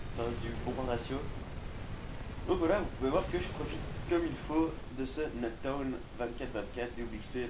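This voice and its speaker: Gamer with a cold, low voice, halting delivery, loud game noise behind. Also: male voice. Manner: rambling, many hesitations and restarts. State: has a cold. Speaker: gaming commentator. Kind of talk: live gameplay commentary.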